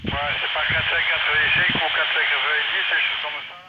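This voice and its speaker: A man's voice: on the high side, level tone, brisk. A man chatting casually over amateur radio in a rambling, hesitant way, repeating himself and adding little tag words. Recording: dull amateur radio transmission, heavy noise.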